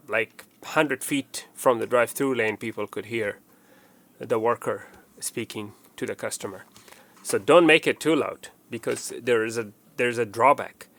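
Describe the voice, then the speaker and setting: A man's voice: medium pitch, vocal fry, a bit bright. A man giving a casual, step-by-step installation walkthrough, explaining plainly and often repeating his instructions. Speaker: technician presenting a how-to tutorial.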